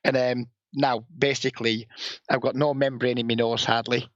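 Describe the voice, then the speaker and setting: A man with a northern English accent and a raspy voice, a blunt, heavily swearing guest in a casual podcast interview who sniffs and coughs. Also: mid-range voice, flat delivery, normal speed, dry recording. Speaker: poker player and coach, guest. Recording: dull lo-fi, dry sound.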